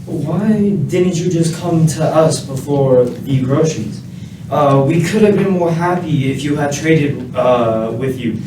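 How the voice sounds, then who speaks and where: A man's voice: fairly high pitch, takes it slowly. A man playing an earnest, hesitant, politely reproachful delegate in a comic radio play. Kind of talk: radio play comedy acting. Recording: echoey, distant, faintly noisy.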